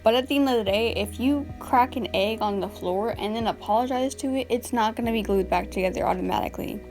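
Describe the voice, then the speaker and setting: A woman with a fairly high voice talks through a drama commentary voiceover, tired and a touch raspy from the early hour. She is blunt and exasperated, filling her speech with casual fillers, while clear music plays underneath. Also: fast talker, a little mellow. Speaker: commentary YouTuber. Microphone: home voiceover mic.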